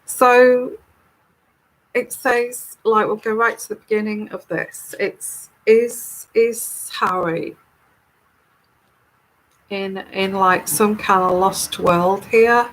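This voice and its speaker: A woman with a soothing, calming voice, speaking very slowly and at an easy, rambling pace. She hosts a live tarot stream and chats casually with her viewers. Her pitch sits in a typical middle range for a woman. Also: poor audio. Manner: unhurried, chatty and rambling.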